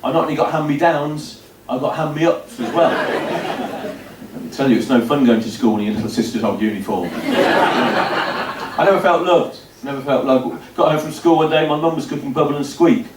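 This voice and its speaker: A stand-up comic in his fifties, his voice sitting a little high as he delivers dry, self-deprecating stories. He is heard from across a roomy club, caught at a distance with a faint hum of the venue behind him. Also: normal speed. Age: 50s. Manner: dry, self-deprecating storytelling with punchy asides. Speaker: stand-up comedian. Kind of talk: stand-up comedy set. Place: comedy club stage before a laughing audience.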